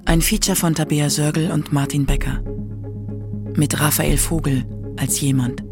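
A female narrator with a crackly edge reads a literary documentary over faint music. Her delivery is measured and puts dramatic weight on key words. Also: sits low, steady tone. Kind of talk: scripted literary feature narration.

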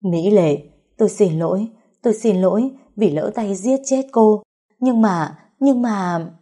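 Woman acting a terrified, wailing servant woman, high-pitched. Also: a little slow, dark tone, close to an everyday voice. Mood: pleading. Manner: pleading, repeats phrases frantically.

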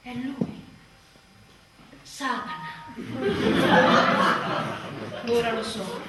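A woman's voice: on the high side, steady tone, very slow. A woman performing a theatrical comic monologue as an exasperated mother, with a sarcastic, emphatic and dramatic delivery. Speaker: stage actress performing a monologue. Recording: echoey room, distant mic, faint noise.

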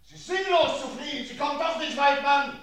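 High shouting cop in a chase, distant and echoey, loud music. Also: male voice, a little fast. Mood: urgent. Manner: shouted, urgent commands. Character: male police officer shouting during a chase.

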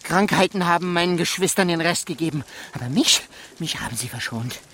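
A creepy, halting gamekeeper killer. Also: male voice, on the high side, lively intonation, a bit bright. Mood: obsessive, unrepentant. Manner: halting, repetitive, lingering on words. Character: creepy gamekeeper, a self-justifying child killer confessing. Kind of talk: radio drama acting.

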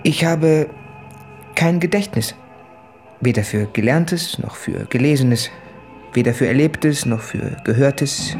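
An actor playing an anguished, self-doubting son in a radio play, delivering intimate literary monologue in an earnest, deliberate manner. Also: male voice, a little high, darkish tone. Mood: self-abasing.

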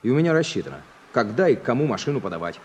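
An actor playing a cocky, defiant truck driver from town in a radio play, talking colloquially and stubbornly. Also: male voice, mid-range voice, big pitch swings, racing, breathy edge. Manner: colloquial, stubborn, self-justifying. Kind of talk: Soviet radio play acting. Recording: noisy vintage radio drama recording.

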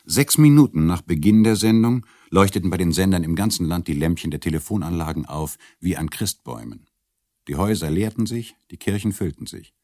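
A man's voice: low-pitched, darkish tone, no room sound, clean studio sound. A man voicing an ironic radio director recalling his broadcast in a documentary. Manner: ironic, wry. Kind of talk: dramatized reading of an interview memoir.

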